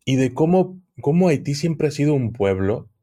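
A man, a guest on a podcast, explaining at length in a measured, hesitant way. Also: fairly high pitch, sing-song, warm tone. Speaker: guest occult expert. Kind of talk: podcast conversation.

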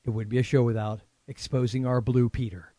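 A man's voice: mid-range voice, little pitch movement, brisk, dark tone, no echo. Jokey male podcast host, dry sound. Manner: rambling and jokey, with many restarts. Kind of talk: casual chat.

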